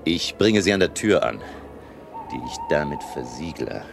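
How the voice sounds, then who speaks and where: A man's voice: rumbling low, expressive, normal speed, creaky, noisy background, exaggerated voice. An actor playing a resolute, authoritative male professor and vampire hunter in a radio drama, grave and commanding. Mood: hushed, deliberate. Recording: music behind the voice.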